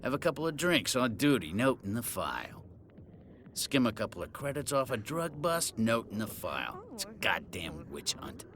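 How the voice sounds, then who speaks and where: A man's voice: mid-range voice, very animated, moderate pace, background noise. An actor playing a disgraced middle-aged policeman in a video game, boozy, sardonic and sneering. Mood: resentful.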